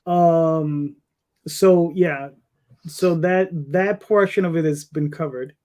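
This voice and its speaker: A man in his fifties with a fairly high-pitched voice, speaking casually as a comic creator being interviewed. He rambles through his thoughts in a loose, conversational way. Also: steady tone, slow pace, a little mellow. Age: 50s.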